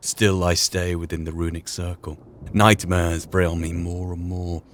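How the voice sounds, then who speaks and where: Man acting lonely old scholar, tormented, dramatic formal diary reading, low voice, slight background noise. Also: level tone, measured pace. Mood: dread. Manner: theatrical, formal. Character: tormented, lonely old male professor reading his journal.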